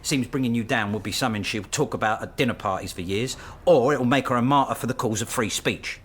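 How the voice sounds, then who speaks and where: A man's voice: mid-range voice, fast talker, fairly bright tone, slightly rough. A man acting in a radio drama as a pragmatic senior academic colleague, pressing his point in a blunt, urgent, matter-of-fact way.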